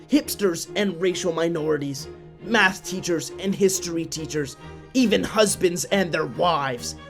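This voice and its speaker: Higher-voiced man narrating theatrically over music. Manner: theatrical, solemn, literary delivery. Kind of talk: scripted dramatic storytelling for a puppet show.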